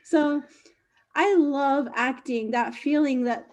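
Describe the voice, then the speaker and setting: A woman with a high voice hosts a relaxed interview. She speaks with eager, expressive energy as she shares her own experience. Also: takes it slowly. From English-speaking. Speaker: host and interviewer. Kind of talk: casual interview chat.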